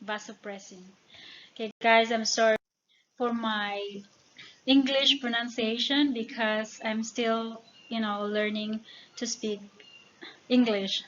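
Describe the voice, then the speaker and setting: A woman with a Filipino accent and Tagalog and Visayan as first languages, narrating an informal lesson from notes and halting over technical words. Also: a little high, steady tone, a bit bright, lo-fi sound, slight background noise. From Philippines. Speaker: educator.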